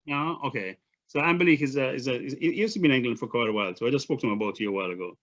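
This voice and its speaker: A man's voice: medium pitch, very fast, a bit bright. A man with a Jamaican accent hosting a casual chat, rambling and repeating himself in a friendly way. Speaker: show host. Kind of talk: casual chat on a video call. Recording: dull lo-fi call audio.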